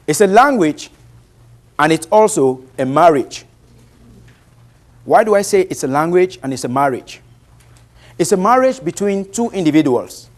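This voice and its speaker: A fairly high-voiced man with a Cameroonian accent, who grew up speaking a Bantu language, delivers a motivational presentation. He speaks deliberately and with emphasis, posing rhetorical questions to his listeners as a practised presenter would. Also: animated. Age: late 30s.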